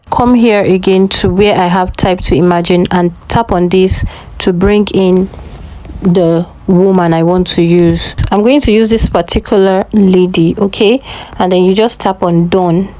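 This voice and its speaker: Woman doing a casual step by step tutorial, mellow low-key voice, keeps checking ur following. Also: fairly even intonation, measured pace, rough recording. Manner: instructional, often checking with "okay?". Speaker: tutorial presenter.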